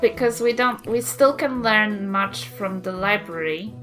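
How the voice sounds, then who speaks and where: A woman's voice: mid-range voice, unhurried, fairly bright tone, soft background music. A woman chatting casually and playfully as a player in a tabletop game.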